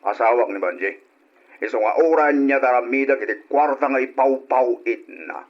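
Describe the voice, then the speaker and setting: A man's voice: medium pitch, moderate pace, lightly acted. Man playing domineering, hard-drinking husband, muffled radio drama. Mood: defiant, angry. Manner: scornful, bossy, barking orders. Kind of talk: old radio drama acting. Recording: dull lo-fi radio drama recording.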